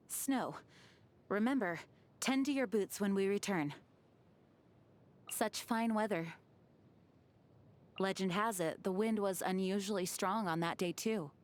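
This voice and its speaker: An actress voicing a dutiful young woman knight and leader, speaking in a measured, earnest, formal way. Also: medium pitch, natural voice. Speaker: game character voice. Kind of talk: game character voice lines.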